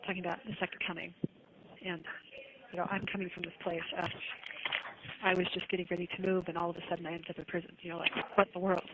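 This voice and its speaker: A woman's voice: lowish voice, level tone, quick pace. Woman on a noisy, muffled phone call. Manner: earnest, testifying, reading verses aloud. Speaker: jail inmate making a phone call. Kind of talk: devotional phone chat with scripture reading. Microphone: telephone handset.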